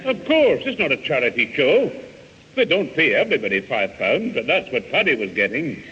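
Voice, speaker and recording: Very raspy old grandfather. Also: medium pitch, sing-song, normal speed, bright, forceful tone, full character voice. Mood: amiable, explaining. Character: exasperated but amiable old grandfather. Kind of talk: British radio sitcom acting. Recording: dull old radio recording.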